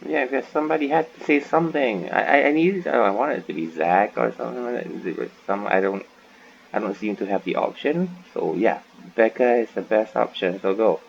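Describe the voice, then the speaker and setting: Guy chatting over a game playthrough, lowish creaky voice, muffled mic. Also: fairly quick. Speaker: gaming video host. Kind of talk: casual playthrough commentary. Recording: muffled mic, faint background noise.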